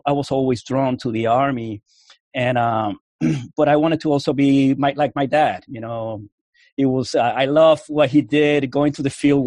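A man's voice: mid-range voice, darkish tone, dry recording. A man from Puerto Rico with a Cuban accent, an Army veteran telling his story in a casual interview, rambling with many restarts and fillers.

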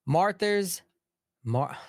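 Higher-pitched New York man, sarcastic. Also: lively intonation, extremely slow, darkish tone, no echo, studio quality. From Long Island, New York. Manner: sarcastic, theatrical, mocking. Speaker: host of a political commentary show. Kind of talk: opinionated news commentary, partly reading aloud.

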